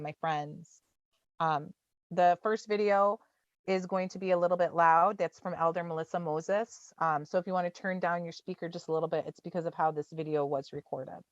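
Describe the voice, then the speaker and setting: A forty-year-old woman talks through an online town hall in a loose, gentle way, leaning on ums. Her audio is dry and close, with dull video-call sound. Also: lowish voice. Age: 40s. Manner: informal, gentle, with many fillers.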